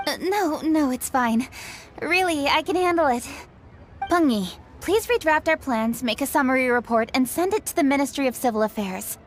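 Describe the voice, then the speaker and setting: A woman's voice: high voice, animated, moderate pace. A woman playing a dutiful, disciplined young official in a video game, speaking in a businesslike, formal way. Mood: brisk, dutiful. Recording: faint ambient noise behind voice.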